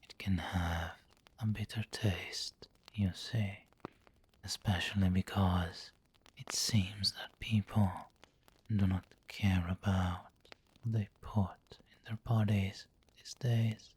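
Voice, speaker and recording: Man playing a slow, whispering, low vampire lord. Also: fairly even intonation, darkish tone, exaggerated voice. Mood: gentle, refined. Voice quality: breathy, whispery. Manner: slow, genteel, coaxing endearments. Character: refined, doting male vampire lord, possessive and indulgent. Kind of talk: ASMR roleplay.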